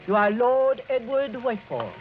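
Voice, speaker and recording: French housekeeper, formal and coolly servile, radio play, dull vintage sound with faint music. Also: female voice, fairly high pitch, sing-song, normal speed. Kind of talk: 1940s radio acting. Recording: dull old radio recording.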